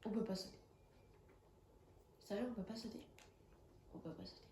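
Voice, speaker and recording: A woman's voice: mid-range voice, moderate pace, warm tone, echoey room. A young woman with a cold, chatting casually and reacting with jumpy exclamations as she plays a horror game. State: has a cold. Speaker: gaming streamer. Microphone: phone.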